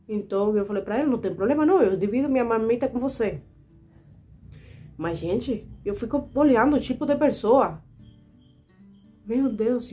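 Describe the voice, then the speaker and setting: A woman's voice: mid-range voice, mellow. A woman in her twenties from Cuba, speaking with a Cuban Spanish accent, telling personal stories earnestly and indignantly to her viewers. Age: 20s. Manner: earnest, indignant, repeatedly addressing viewers as "gente". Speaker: vlogger. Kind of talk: personal storytelling to camera.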